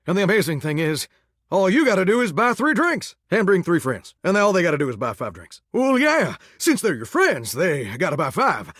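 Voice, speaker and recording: Swooping Southern 1950s crooner faucet. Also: male voice, sits high, big pitch swings, normal speed, fairly bright tone, no echo, clean studio sound, full character voice. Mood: playful, coaxing. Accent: American Southern. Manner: hammy, drawling, old-fashioned rock-and-roll showman. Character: singing faucet, cocky folksy 1950s crooner heartthrob. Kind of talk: theatrical game voice acting.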